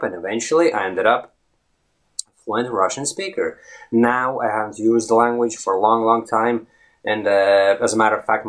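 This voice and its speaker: A man in his thirties, a native Latvian speaker, talking to camera as an English fluency coach in a conversational way, often checking in with a quick "right?". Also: on the low side, fairly bright tone. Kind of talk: talking-head video lesson. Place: indoors in front of a green screen. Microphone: close camera, head-and-shoulders framing.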